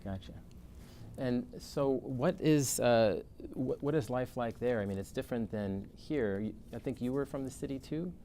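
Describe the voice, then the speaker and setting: A man's voice: mid-range voice, normal speed, mellow, slight background noise. A man hosting an interview as a music professor. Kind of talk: hosted interview.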